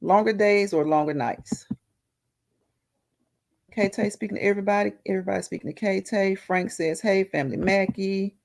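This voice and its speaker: Gravelly-voiced woman hosting a live chat. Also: mid-range voice, normal speed, darkish tone. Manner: laughs often, greets viewers by name. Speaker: livestream host reading chat.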